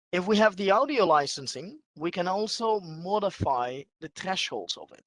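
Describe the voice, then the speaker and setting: A man presenting a live software demonstration as a webinar trainer, explaining things step by step in a halting way. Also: on the high side, dry recording, poor audio.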